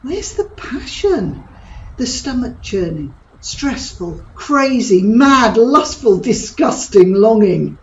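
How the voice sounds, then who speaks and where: A woman's voice: a little high, very animated, slow, a little mellow, highs cut off, heavily acted. A woman playing Gabby, a cheeky, provocative middle-aged friend who mocks and prods with blunt humour in an audio drama. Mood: scornful. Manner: teasing, blunt and mocking.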